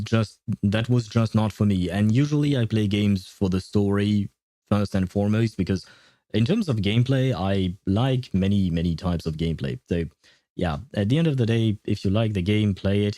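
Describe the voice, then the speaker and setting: A man hosting a livestream, chatting casually with viewers in a halting way, often chuckling. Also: on the low side, warm tone, no echo, studio quality. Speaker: livestream host. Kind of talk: casual chat with live stream viewers.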